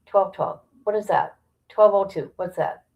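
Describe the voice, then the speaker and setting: Woman livestream host, unhurried and creaky-voiced, blunt and gossipy casual commentary. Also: mid-range voice, a little mellow. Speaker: livestream host reacting to a document. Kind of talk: casual livestream commentary, reading aloud and reacting.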